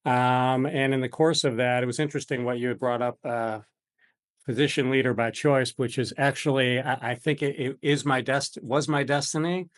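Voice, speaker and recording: A man's voice: medium pitch, fairly even intonation, normal speed. A middle-aged American man, a physician from Buffalo, answering interview questions conversationally in hesitant, meandering phrases. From Buffalo, New York, United States.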